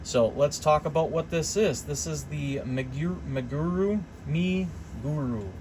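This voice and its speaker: A man giving a casual, unscripted equipment demonstration, thinking aloud in a halting way as he explains. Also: fairly high pitch. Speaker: hobbyist repairer demonstrating test equipment. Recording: clear steady hum of background noise.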